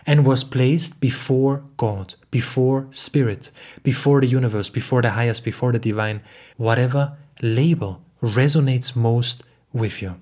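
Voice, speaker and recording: A young man from Austria speaking in an earnest, emphatic way, slowly repeating phrases as he talks alone to the camera at home. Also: medium pitch, darkish tone. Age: young adult. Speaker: podcast host and spiritual teacher. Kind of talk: reflective solo talk. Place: home living room.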